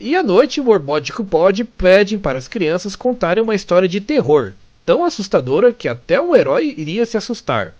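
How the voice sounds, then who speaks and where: A man's voice: high voice, big pitch swings, brisk, hardly any pauses, a little mellow, muffled recording. A Brazilian man narrating a comic-story recap in a conversational, chatty way with amused asides. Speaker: narrator and fan translator of comic stories.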